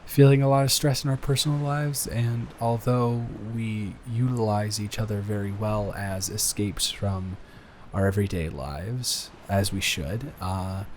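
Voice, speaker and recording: A man playing a nervous, adoring young boyfriend in an improvised intimate roleplay audio, speaking in a halting, bashful, rambling way. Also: fairly low pitch, fairly even intonation, a little mellow, a little hiss or hum, natural voice. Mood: nervous, earnest.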